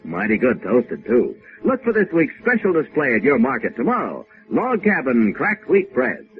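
A man working as a radio announcer and sponsor pitchman, reading scripted copy in 1950s style. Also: wildly swooping pitch, normal speed. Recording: tinny vintage radio, music behind.

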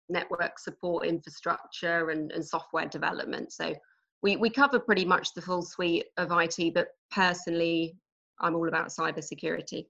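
A woman in her forties from Norwich, England, with an English accent, chatting as a podcast guest about her work in a relaxed, explaining way with frequent ums. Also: on the low side, highs cut off. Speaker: recruitment manager, guest. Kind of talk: conversational podcast interview.

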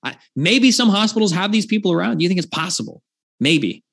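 A man in a heated debate, rebutting quickly and insistently with sarcasm. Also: high-pitched, expressive, vocal fry, a bit bright, husky.

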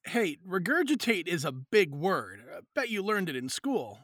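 A woman playing a good-natured, teasing middle-aged father in an audio drama, brisk and casual. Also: on the low side, very animated, fairly bright tone, dry recording, clean studio sound.

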